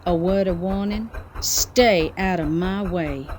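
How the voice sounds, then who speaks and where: A haughty femme fatale in an audio drama. She speaks slowly and deliberately, condescending and cutting, with faint ambient noise behind her. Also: lowish voice, darkish tone. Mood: cold, threatening. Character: haughty, cold, domineering femme fatale businesswoman.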